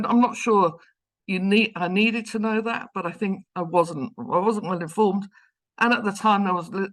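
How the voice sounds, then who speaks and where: A woman's voice: low-pitched, a bit bright. A woman in her fifties with a London accent, giving an online seminar talk over a video call in a chatty, self-deprecating way. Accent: London English. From London, England. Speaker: guest lecturer, professor.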